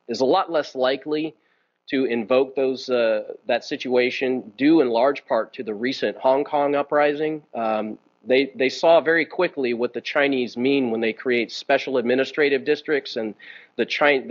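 Guy at a lectern in a meeting room, earnest, lots of uhs, bit muffled audio. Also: mid-range voice. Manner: earnest, frequent uh fillers and restarts. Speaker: guest speaker. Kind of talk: forum talk and Q&A answers. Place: conference room. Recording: slightly dull lo-fi sound.

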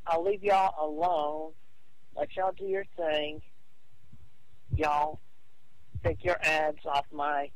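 A man with a high-pitched voice argues his case over the phone. He is insistent but halting, often repeating words as he goes. The recording is dry and without echo. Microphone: telephone call.

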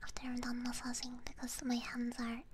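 A woman ASMR streamer speaking in a gentle, soothing, breathy whisper close to a binaural microphone, chatting unhurriedly to her viewers. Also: a little high, completely flat delivery, a little fast, background noise. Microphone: close binaural ear microphone.

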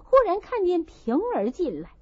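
Female audiobook narrator: high, lively pitch, telling a literary story expressively, dull recording. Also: normal speed, dry recording.

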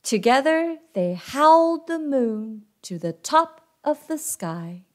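A woman with a high, lively voice narrates a children's picture book. She takes her time and reads expressively, with plenty of rise and fall. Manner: expressive, deliberate. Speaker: read-aloud host and narrator.

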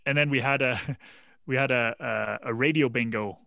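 A man's voice: mid-range voice, steady tone, moderate pace, gravelly, bright, forceful tone, dry recording, studio quality. A man in his forties from the Netherlands with a Dutch accent, co-hosting a casual podcast chat over a video call and telling stories with lots of restarts and laughs. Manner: chatty, restarting sentences, laughing storyteller. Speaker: podcast co-host. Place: home room, video call. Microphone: headphones and desk mic.

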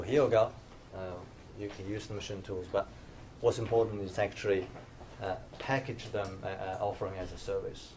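Man, middle-aged, hesitant lecture, low pitch, faint room noise. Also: darkish tone. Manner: hesitant, many fillers and restarts. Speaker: invited speaker, professor. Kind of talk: conference lecture. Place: dim lecture room, standing by projector screen.

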